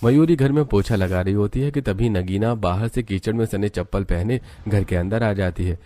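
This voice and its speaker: A man's voice: on the low side, moderate pace, mellow, no room sound. A man narrating a scripted moral story as its storyteller.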